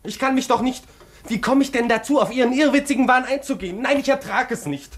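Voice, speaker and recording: An actor playing an anguished, weak-willed young army lieutenant in a German radio drama, pleading and repeating himself in agitation. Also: male voice, very high-pitched, fast talker, fairly bright tone. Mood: panicked. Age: young adult.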